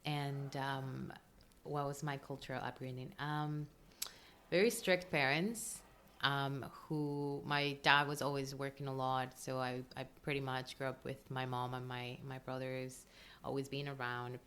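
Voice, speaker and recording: Low-voiced Colombian woman, open and emotional. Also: level tone, measured pace, a bit bright, slight background noise. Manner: open and emotional, frequent ums and laughs. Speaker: podcast guest, breathwork coach. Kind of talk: casual interview chat.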